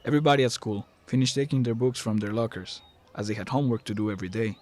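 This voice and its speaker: A man with a fairly low voice delivers scripted story narration for an audio drama. He reads as a narrator, telling the tale in a dramatic storytelling style. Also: quick pace, darkish tone.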